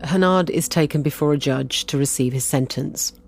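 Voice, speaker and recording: Male documentary narrator over clear music. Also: on the high side, moderate pace. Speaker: narrator of a radio story. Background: music bed.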